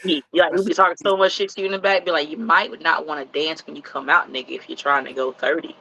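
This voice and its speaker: A man hosting a podcast, chatting in excitable, teasing banter. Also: fairly high pitch, fast, vocal fry, dry recording, dull audio. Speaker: podcast host. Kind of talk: casual chat.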